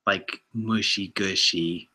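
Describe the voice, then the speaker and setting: A man's voice: sits low, steady tone, slow, pushed and bright, breathy edge. A man in his late twenties co-hosting a casual live Q&A, joking and poking fun at himself. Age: 20s. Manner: joking, self-deprecating. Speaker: co-host.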